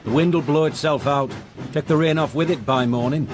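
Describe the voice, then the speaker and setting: A man's voice: a little high, fairly quick, background noise, natural voice. An actor playing a blunt, foreboding local man with a Northern English accent and terse speech, in a radio drama.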